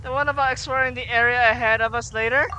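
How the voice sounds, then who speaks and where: A woman's voice: fairly high pitch, steady tone, fast, heavy background noise. A woman giving casual, wry gameplay commentary with reactive asides. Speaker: game streamer. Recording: loud game music under the voice. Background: video game music.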